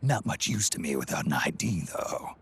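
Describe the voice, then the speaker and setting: A stoic, weary older soldier from a video game. His voice is extremely deep and badly rasped, almost a growled whisper. He speaks in terse, grim fragments. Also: big pitch swings, moderate pace, full character voice. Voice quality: very gravelly, hoarse rasp. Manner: terse, low growling delivery. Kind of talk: video game cutscene acting.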